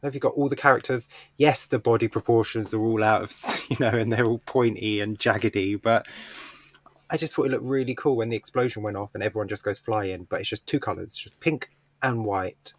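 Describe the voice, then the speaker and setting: A man's voice: on the low side, steady tone, brisk, no room sound. A man co-hosting a podcast, chatting casually in a book club discussion. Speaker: podcast co-host.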